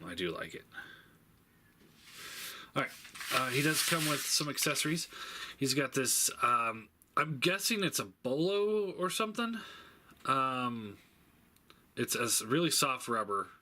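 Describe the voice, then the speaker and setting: A man giving a casual, unscripted product review, thinking aloud in a halting way and stating blunt opinions. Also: medium pitch, very animated, sharp tone. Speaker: toy reviewer. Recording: faint background noise.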